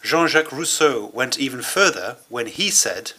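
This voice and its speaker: Bright-voiced male conference interpreter. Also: mid-range voice, expressive, husky. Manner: measured, hesitant phrasing with pauses. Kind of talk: simultaneous interpretation of a speech.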